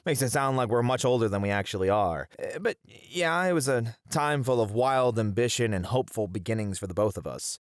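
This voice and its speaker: A man playing a passionate, playful college teacher with dramatic, expressive phrasing in an ASMR roleplay. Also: medium pitch, a little fast, hardly any pauses, no echo, studio quality, close to an everyday voice. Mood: teasing, fond. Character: flirty, theatrical, passionate male college teacher.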